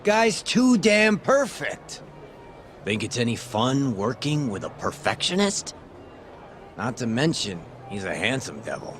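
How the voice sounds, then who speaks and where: An actor playing a smug, corrupt police detective who sells information, breezy and teasing, in an English video game dub. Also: male voice, mid-range voice, wildly swooping pitch, a little slow, a bit bright, slight background noise. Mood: wry, chatty. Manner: breezy, cocky, wry, teasing.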